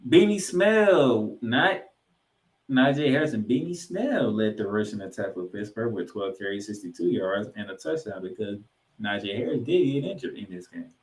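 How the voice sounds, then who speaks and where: A man's voice: on the low side, sing-song, normal speed. A man hosting a sports podcast, giving recap commentary with stats and emphatic delivery of names and numbers. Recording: roomy, echoing room sound.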